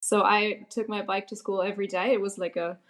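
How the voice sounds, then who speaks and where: A young woman from Germany, a podcast guest answering in a relaxed way, laughing easily and using many fillers. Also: mid-range voice, a little fast. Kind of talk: casual interview answers.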